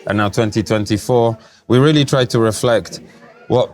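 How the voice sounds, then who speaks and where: A man from Poland who grew up speaking Polish, talking as a guest in a casual interview at a design festival, explaining things in a relaxed, measured way. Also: lowish voice. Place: festival venue, recorded live.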